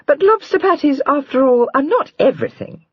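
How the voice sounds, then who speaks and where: A woman's voice: high voice, very animated, fairly quick, no echo, muffled recording, voice pushed up. A woman doing a bubbly, gushing young sister. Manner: gushing, breathless chatter. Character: bubbly, spoilt young sister, chattering and greedy.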